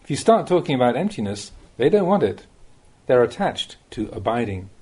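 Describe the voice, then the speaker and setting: A man reads spiritual teachings aloud in a soft, dark-toned voice with a slightly husky grain. His pitch rises and falls freely as he stresses key phrases. The delivery is plain-spoken and measured, like a monk instructing students. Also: sing-song. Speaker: Buddhist monk reading translated teachings. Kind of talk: reading a recorded Dhamma talk aloud.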